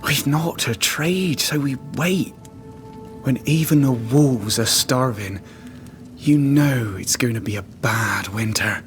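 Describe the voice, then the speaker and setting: Man playing a slow-spoken, haunted starving trapper. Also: medium pitch, faint music behind, natural voice. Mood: weary, earnest. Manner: rustic dialect phrasing, plain halting sentences. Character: haunted, starving male trapper, simple, devout and stubbornly loyal. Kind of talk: dramatic video game cutscene acting.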